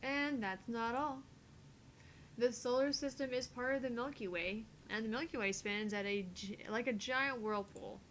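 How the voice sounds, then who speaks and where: A woman's voice: a little high, normal speed, fairly bright tone, slight background noise. A woman co-hosting a visual novel read-along, reading dialogue aloud with playful, casual banter and the odd stumble over long phrases.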